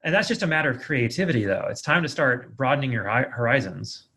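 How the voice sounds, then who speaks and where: A man's voice: mid-range voice, animated, quick pace, sharp tone. A man hosting a podcast interview in an affirming, effusive, conversational way.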